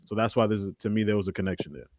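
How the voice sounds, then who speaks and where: A man's voice: on the low side, rapid-fire, darkish tone, no echo. A man in his twenties chatting casually as a guest on a panel, in informal, slangy speech. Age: 20s. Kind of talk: casual chat.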